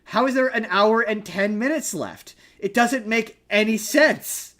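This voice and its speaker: A man with a slightly high voice talks casually about films on a podcast. He is opinionated and emphatic, pressing his points in an argumentative, conversational way. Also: unhurried, a bit bright. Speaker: podcast host. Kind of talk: casual movie discussion.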